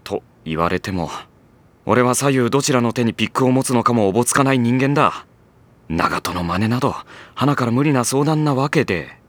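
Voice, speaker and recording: Male actor as sardonic schoolboy, mid-pitched, very lively intonation, exasperated, wry narration, anime audio drama, background music. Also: fairly quick, dry recording, natural voice. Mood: wry, resigned. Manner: wry, exasperated, deadpan asides. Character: sardonic, put-upon high school boy narrator.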